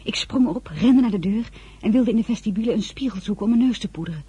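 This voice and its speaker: Young female diarist-narrator, intimate radio drama, very husky, soft and mellow. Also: medium pitch, expressive, fast talker, dull audio. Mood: uneasy. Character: Désirée, observant young woman narrating her memories. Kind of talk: scripted first-person narration in radio drama.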